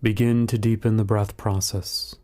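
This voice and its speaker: A man guiding a meditation in a calm, measured, soothing manner, with long pauses between instructions. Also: on the low side. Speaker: meditation guide. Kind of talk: guided meditation narration.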